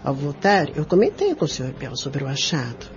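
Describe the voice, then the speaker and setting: A woman's voice: very deep voice, big pitch swings, a little fast, a little hiss or hum. A woman playing a practical, reassuring lady's companion who stays unafraid, in a radio drama. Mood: calm, reassuring. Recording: dull radio play recording, loud music.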